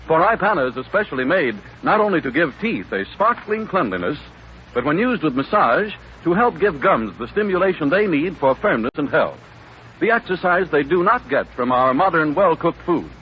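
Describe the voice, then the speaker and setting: Man, American, 1930s radio announcer, scripted ad read, fairly high voice, dull hissy vintage recording. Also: big pitch swings, normal speed. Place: radio studio.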